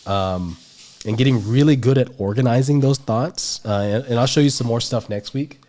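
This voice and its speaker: A man's voice: mid-range voice, moderate pace, warm tone. A man with a stuffy, nasal voice, teaching an art lesson on a livestream in a rambling way full of fillers. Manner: rambling, filler-heavy explaining. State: stuffy nose. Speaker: art instructor.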